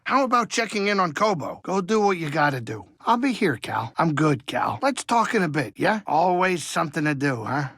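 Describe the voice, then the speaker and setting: A man voicing a grumpy, fretful but soft-hearted older alien pilot and cook in a video game, with a colloquial, fussy, rambling delivery. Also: on the high side, no room sound, clean studio sound, exaggerated voice. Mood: casual, busy. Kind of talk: video game character dialogue.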